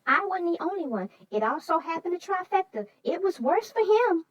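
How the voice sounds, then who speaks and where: A woman's voice: very high-pitched, sing-song, moderate pace, dull audio. A woman voicing a chatty, upbeat young sidekick character in a children's read-aloud, chirpy and agreeable. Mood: cheerful, chatty. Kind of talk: scripted chat after a children's read-aloud.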